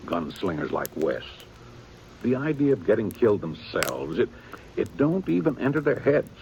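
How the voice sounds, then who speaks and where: An actor playing a weary, dutiful aging small-town sheriff with a plain folksy drawl in a 1950s radio drama. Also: male voice, on the low side, sing-song, normal speed, vocal fry, darkish tone, poor audio. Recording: old radio broadcast with faint hiss.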